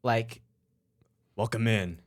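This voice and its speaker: A man's voice: lowish voice, fairly even intonation, takes it slowly. A young man co-hosting a casual chat, asking questions and thinking aloud. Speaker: podcast co-host.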